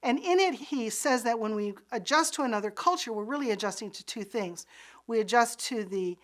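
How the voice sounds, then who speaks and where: A woman's voice: on the high side, expressive, a little fast. Woman giving an earnest lecture. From Pakistan. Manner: earnest, explanatory, some restarts. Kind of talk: recorded course lecture.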